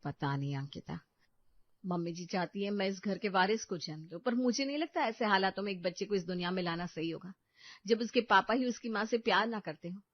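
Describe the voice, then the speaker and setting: Woman voicing a troubled young wife, mid-pitched, serious. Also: brisk, dry recording, lo-fi sound, lightly acted. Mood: weary, sad. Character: troubled, suspicious young married sister-in-law.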